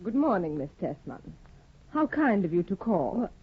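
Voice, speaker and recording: An actress playing a cold, haughty young bride in a 1950s radio drama, curt and disdainful. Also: female voice, medium pitch, sing-song, moderate pace, breathy edge. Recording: tinny old radio broadcast.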